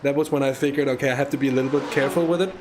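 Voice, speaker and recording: A man's voice: medium pitch, steady tone, racing, background noise. A man commentating a live sim race, chatty and hesitant, with lots of fillers and restarts, on a USB microphone.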